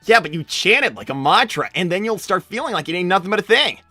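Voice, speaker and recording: A woman voicing a laid-back but excitable young male cartoon animal, hesitant and slangy in casual improvised chat. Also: fairly low pitch, fast talker, breathy edge, no room sound, faint music behind, heavily acted. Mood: easygoing. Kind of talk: improvised in-character livestream chat.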